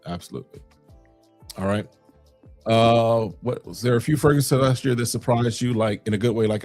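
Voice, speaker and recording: A man with a fairly low voice hosts a relaxed livestream, greeting viewers and answering their questions off the cuff. His talk is loose and full of fillers and restarts. Faint music plays quietly underneath. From Chicago, South Side. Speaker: fragrance livestream host. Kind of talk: casual livestream chat reading comments.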